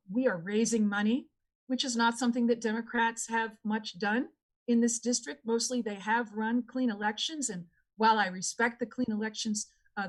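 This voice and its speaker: Earnest woman candidate. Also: on the high side. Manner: earnest, repetitive campaign appeals. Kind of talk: debate answer.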